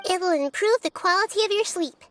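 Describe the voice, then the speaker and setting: A woman voicing a gentle, wise and curious little girl in a video game, speaking softly and earnestly with a kindly, teasing touch. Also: very high-pitched, brisk, slight background noise. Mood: tender, playful. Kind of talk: video game character voice lines.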